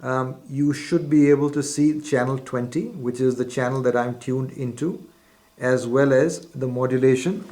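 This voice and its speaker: A man's voice: mid-range voice, dark tone. A man presenting a step-by-step instructional demonstration in a methodical, formal, explanatory way. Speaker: product demonstrator.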